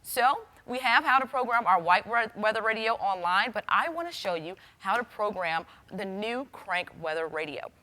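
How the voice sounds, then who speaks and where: Bright-voiced woman giving an instructional demo. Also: mid-range voice, animated, moderate pace, hardly any pauses. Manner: explanatory, addresses the viewer directly. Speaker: TV weather forecaster presenting a how-to demo. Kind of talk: instructional product demonstration to camera.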